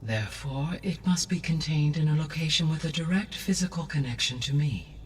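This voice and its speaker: An actor playing a calm artificial intelligence in a video game, with formal, precise, emotionless speech. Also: male voice, a little high, fairly quick, distant mic, slight background noise, close to an everyday voice. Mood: calm exposition. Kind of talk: video game cutscene acting.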